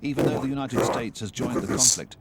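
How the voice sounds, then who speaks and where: A man narrating a historical documentary in a measured, solemn scripted delivery, recorded as a studio voice-over. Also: mid-range voice, very noisy. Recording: narration under loud music score.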